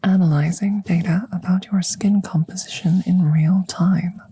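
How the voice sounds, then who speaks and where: A woman's voice: on the low side, fairly even intonation, moderate pace, dark tone, a little hiss or hum, natural voice. A woman voicing a curious, clinical alien scientist in an ASMR roleplay, whispering breathily and phrasing things formally and precisely, close to the microphone. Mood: clinical, soothing. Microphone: close microphone, ASMR style.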